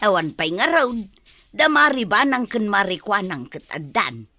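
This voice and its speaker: A woman's voice: mid-range voice, big pitch swings, normal speed, bright, forceful tone, no room sound. A woman playing an easygoing, sociable village neighbour, chatting in a radio drama. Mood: chatty.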